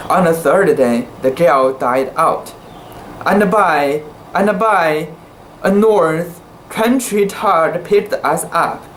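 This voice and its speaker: A narrator giving an amateur dramatic reading of a sea story, with deliberate, theatrical phrasing and occasional stumbles. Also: mid-range voice, a little hiss or hum, poor audio.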